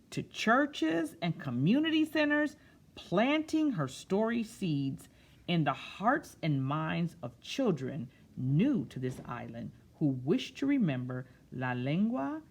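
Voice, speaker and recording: A woman's voice: fairly low pitch, very animated, slow. A woman reading a picture book aloud to children, drawing out phrases expressively and breaking off into simple, casual explanations. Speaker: children's storyteller.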